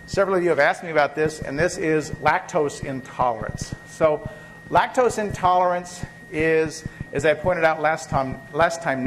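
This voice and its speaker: Man, professor, slightly high voice, coming down with a cold, loose lecture style, noisy lecture tape. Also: a bit bright. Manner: loose, rambling, many fillers and restarts. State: catching a cold, occasional coughs. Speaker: biochemistry professor. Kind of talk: university lecture. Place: lecture hall.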